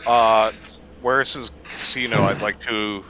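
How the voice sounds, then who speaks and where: Male co-host, bright forceful voice, lo-fi mic with faint noise, wry casual banter. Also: fairly low pitch, fairly even intonation, measured pace. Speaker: co-host commenting on a story. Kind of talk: casual joking chat.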